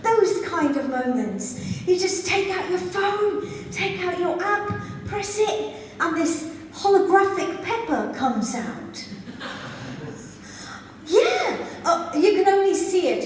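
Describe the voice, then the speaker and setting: A high-voiced woman from Zambia works through a stand-up set, playful and quick to giggle, though she talks at an unhurried pace. Her voice comes from a distance across a club, with a strong echo and a long reverb tail. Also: big pitch swings, slight background noise. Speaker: stand-up comedian. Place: comedy club stage. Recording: echoey club sound from far off.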